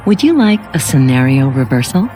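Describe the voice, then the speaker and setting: A female AI software assistant for an audio drama, dark and soft-edged in tone. She speaks in formal, clipped phrases with detached courtesy, inside a long reverberant wash over faint music. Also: rumbling low, sing-song, brisk. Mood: flat, detached. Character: emotionless female computer software voice assistant, coolly polite.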